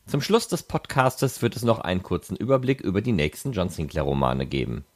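Low-pitched man with animated intonation. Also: a little fast. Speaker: podcast host. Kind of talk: scripted narration.

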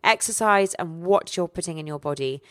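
A low-pitched woman in her forties speaks candidly and with energy about her own life. The sound is dry and close, with no room echo. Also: moderate pace, a bit bright. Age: 40s. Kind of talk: casual chat.

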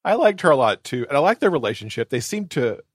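Lively man in casual podcast chat. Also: medium pitch, fast, breathy edge, no room sound, studio quality. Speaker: podcast guest. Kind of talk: casual, animated book chat.

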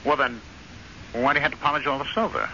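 An actor playing a frustrated, restless American husband in an old-time radio drama, sardonic, emphatic and easily riled. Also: male voice, mid-range voice, fast talker, gravelly, sharp tone. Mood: weary, puzzled. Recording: muffled, noisy old radio recording.

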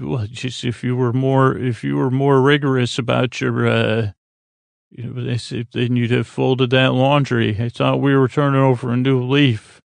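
A man hosting a bedtime podcast in a meandering, halting monologue, stammering and often trailing off mid-thought. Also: medium pitch, gravelly, dry recording. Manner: acts out imagined back-and-forth dialogue. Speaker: podcast host.

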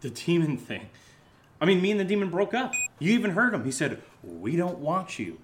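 A man playing an impulsive, eager young American schemer in a comedic audio drama, talking in a casual, rambling, excitable way. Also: normal speed. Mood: defensive, rueful. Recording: low-level home recording, faint noise.

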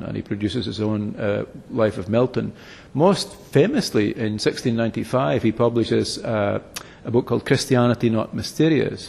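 A man, a philosophy lecturer, explaining history at length in an interview, halting often to restart and correct himself. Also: on the low side, creaky, darkish tone, husky. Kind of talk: explanatory academic interview answers. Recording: long reverb, echoey room.

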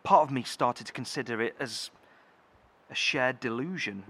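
A man playing a cautious, dutiful bunker leader with a measured manner in an audio horror drama. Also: mid-range voice, pushed and bright, breathy edge. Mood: sober, measured.

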